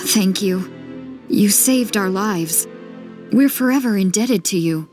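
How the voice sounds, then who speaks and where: A woman playing a gentle, weary refugee mother in a video game, speaking earnestly and soothingly. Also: medium pitch, slow pace, faint music behind, close to an everyday voice. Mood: relieved, grateful.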